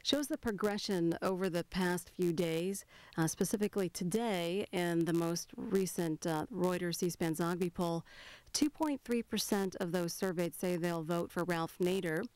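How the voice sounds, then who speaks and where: Woman, American accent, news presenter, lower-pitched voice, dry close sound, live call-in hosting. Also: measured pace, a little mellow, dry recording. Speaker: TV call-in show host. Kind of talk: live news presenting, taking viewer calls.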